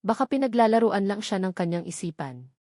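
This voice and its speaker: A woman's voice: mid-range voice, very animated, brisk, a little mellow, no room sound, studio quality. A woman reading a scripted romance story as a dramatic narrator.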